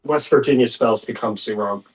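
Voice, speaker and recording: Man, average pitch, casual tabletop game chat. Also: normal speed.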